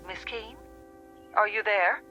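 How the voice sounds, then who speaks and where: A patient, polite female operator, in a vintage television drama. Her bright, measured voice comes through a telephone with faint music behind. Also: medium pitch, fairly even intonation, dull audio. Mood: concerned.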